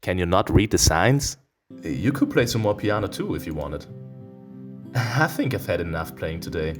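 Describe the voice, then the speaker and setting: Man, deep voice, swooping intonation, let's-play host, reading aloud, playful teasing asides, instrumental music in background. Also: normal speed. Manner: expressive, teasing, exclaiming. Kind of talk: reading a visual novel aloud with playful reactions. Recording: music behind the voice.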